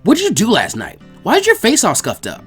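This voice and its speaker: A man's voice: high-pitched, wildly swooping pitch, brisk, fairly bright tone, husky, voice pushed up, full character voice. A feisty young kunoichi, acted by a male reader for an anime fan story. She nags indignantly in a raised, swooping voice over faint music. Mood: nagging. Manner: badgering, indignant. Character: nagging, feisty young girl ninja.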